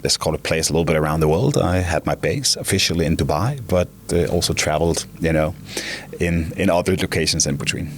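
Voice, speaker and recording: A man's voice: rumbling low, fairly quick. A man in his twenties from Denmark with a Danish accent, a company founder talking as an interview guest, rambling and often restarting or repeating words. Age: 20s. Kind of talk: casual interview talk.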